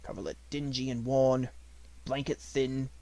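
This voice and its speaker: A man's voice: mid-range voice, flat delivery, a little slow, gravelly, mellow. A man voicing a young, inquisitive male secretary in a storybook, with a clipped, businesslike manner. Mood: businesslike disapproval.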